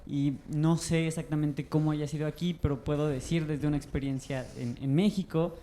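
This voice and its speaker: A man's voice: on the high side, steady tone, moderate pace, mellow, a little hiss or hum. A man from Mexico with a Mexican accent, asking a thoughtful question from the audience with some hesitation. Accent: Mexican Spanish. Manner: thoughtful, hesitant, many fillers.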